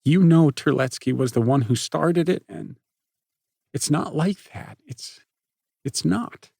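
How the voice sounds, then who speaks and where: A tearful teenage girl pleading in a soft, sputtering voice, as a man puts her on, lifted well above his usual pitch. Dry, close sound. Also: animated, slow pace, vocal fry, slightly rough, dry recording, exaggerated voice. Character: upset teenage girl, pleading, near tears.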